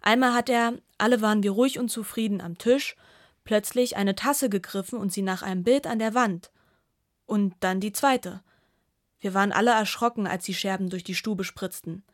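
A woman's voice: medium pitch, normal speed, no room sound, studio quality, natural voice. A woman playing a former household girl in a radio play, reminiscing fondly as a witness. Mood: reflective.

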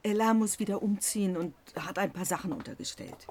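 A middle-aged mother played by an actress with a medium-pitched voice in a radio drama. She sounds practical and protective, with faint noise in the recording. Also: fairly quick, darkish tone, natural voice. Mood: brisk, soothing.

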